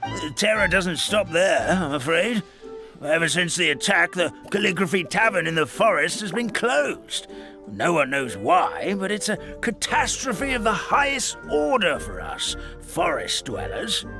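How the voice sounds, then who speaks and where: An actor voicing a forgetful, kindly old paper creature in a fantasy game, with a theatrical, rambling, folksy delivery. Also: male voice, high voice, sing-song, a little slow, music in the background, heavily acted. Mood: dramatic alarm. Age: older. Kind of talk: animated fantasy game voice acting.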